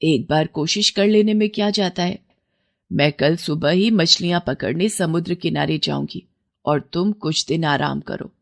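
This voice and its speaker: A woman's voice: low voice, normal speed, creaky. Female VO: aging cartoon wife, firm and advising. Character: poor aging fisherman's wife, steadfast and wise.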